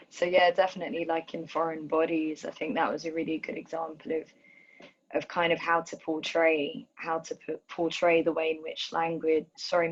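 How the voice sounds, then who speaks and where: A woman's voice: low voice, dull audio. A young woman from Ethiopia with a London accent, talking thoughtfully with many ums and restarts in a conversation. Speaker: dancer and choreographer. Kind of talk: reflective conversation. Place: video call from home.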